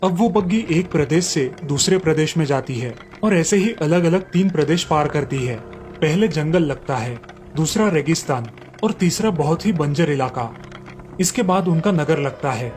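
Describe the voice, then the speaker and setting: A man narrating a moral story for a cartoon in a steady storytelling manner. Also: on the high side, normal speed, a little hiss or hum.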